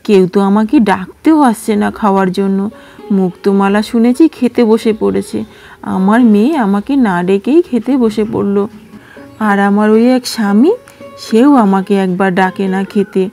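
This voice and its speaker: A woman voicing a sharp-tongued, sulky middle-aged stepmother who nags in a shrill, fast voice, storybook style. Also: steady tone, faint music behind, natural voice. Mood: grumbling. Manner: shrill, nagging, fast scolding. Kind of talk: storybook character voice.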